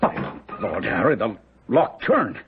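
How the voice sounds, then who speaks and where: An actor playing a middle-aged gentleman doctor in a radio drama, exclamatory and commanding, with some hesitation. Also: fairly low pitch, wildly swooping pitch, slow, a little hiss or hum, full character voice. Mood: excited, commanding. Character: brisk, skeptical gentleman doctor, shaken by discoveries. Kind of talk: 1970s radio drama acting. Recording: muffled, lo-fi vintage radio recording.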